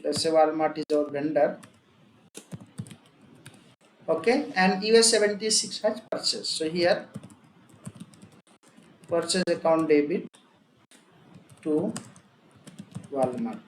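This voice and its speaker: An instructor with an Indian accent gives a software tutorial in a repetitive, step-by-step way. The voice sits at a middling pitch. The recording is echoey, with faint noise behind it. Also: lively intonation, measured pace, long pauses. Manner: repetitive, emphatic step-by-step explaining with frequent check words. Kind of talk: software and accounting tutorial, explaining a worked example.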